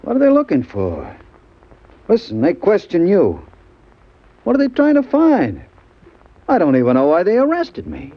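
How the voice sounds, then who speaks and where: A man playing a big, shifty pool parlor owner of about forty in a radio crime drama, with a husky voice and an urgent, insistent manner. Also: high voice, wildly swooping pitch, moderate pace, pauses often, full character voice. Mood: agitated. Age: middle-aged. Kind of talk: old-time radio crime drama acting. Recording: dull vintage radio recording.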